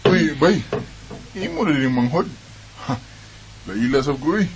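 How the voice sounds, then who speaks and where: Animated young Bisaya guy, played by a man. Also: on the high side, measured pace, poor audio. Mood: friendly, admiring. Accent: Filipino, Bisaya. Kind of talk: Bisaya radio drama acting.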